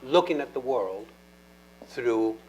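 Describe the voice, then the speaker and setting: A man moderates a university panel, speaking slowly in a reflective, academic way. His pitch rises and falls in a lively way, and a faint mains hum sits under his voice. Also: rough recording. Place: lecture hall panel.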